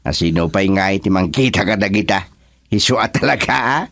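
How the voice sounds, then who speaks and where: A man playing a jovial, boastful village chairman in a radio comedy drama, speaking in an animated, colloquial way. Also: deep voice, normal speed.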